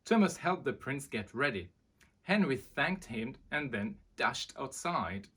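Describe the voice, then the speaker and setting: A man presenting and reading a children's storybook aloud in an expressive way. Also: medium pitch, a little slow, breathy edge.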